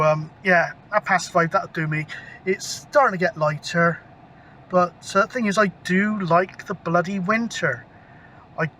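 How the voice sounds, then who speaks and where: A man's voice: high-pitched, pushed and bright. A British man in his fifties chatting casually and ramblingly to camera, with mild swearing. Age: 50s. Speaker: CB radio hobbyist, vlogger. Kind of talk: casual rambling vlog chat. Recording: outdoor camera mic with clear background noise.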